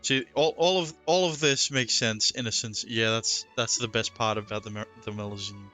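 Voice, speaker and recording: A man's voice: fairly low pitch, normal speed, bright, forceful tone, soft background music. A man doing casual live gameplay commentary, informal, reactive and prone to swearing, close on the microphone. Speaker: game streamer.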